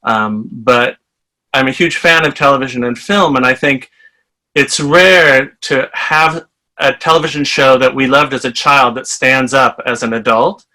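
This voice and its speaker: A man from Iowa talks at length and with enthusiasm, laughing a little as he goes. His voice is bright and forward, at a middle pitch. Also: a little slow, rough recording. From Iowa, USA. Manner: chatty, enthusiastic, laughs while talking. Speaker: fan guest. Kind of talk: casual self-introduction.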